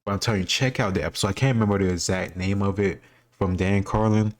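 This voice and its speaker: A man's voice: low-pitched, quick pace. A man speaking informally as a host, reacting casually and thinking aloud as he answers quiz questions.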